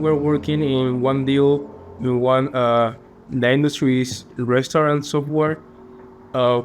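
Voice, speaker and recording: A young man from Peru with a Peruvian Spanish accent, answering interview questions earnestly and a little haltingly. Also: mid-range voice, a little hiss or hum. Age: young adult. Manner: earnest, polite, slightly halting phrasing. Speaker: finance student guest.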